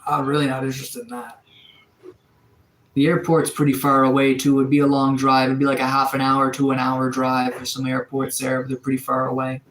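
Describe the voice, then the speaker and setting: A man in his thirties from Atlantic Canada, chatting casually on a live stream, relaxed and rambling with lots of fillers and restarts. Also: mid-range voice, fairly quick, roomy sound, poor audio. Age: 30s. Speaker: livestreamer. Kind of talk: casual live-stream chat reading viewer comments. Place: sunroom.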